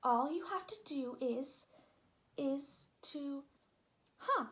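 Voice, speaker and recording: A woman's voice: sits high, expressive, drawn-out words, a bit bright, exaggerated voice. A woman voicing a cheerful, cocky flying boy from a storybook, playful and musing in manner. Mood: musing, pleased.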